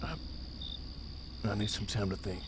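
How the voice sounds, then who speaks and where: A man playing a hurt, bewildered, soft-hearted partner in an audio drama. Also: fairly low pitch, animated, a little slow, noisy background. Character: wounded, bewildered male lover, soft-hearted good man.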